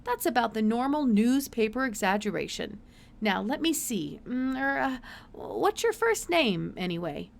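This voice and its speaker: A woman voicing a brisk, curious, absent-minded older male scientist in a storybook, with hesitant mutters and emphatic exclamations. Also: fairly high pitch, big pitch swings, creaky, voice pushed up, full character voice.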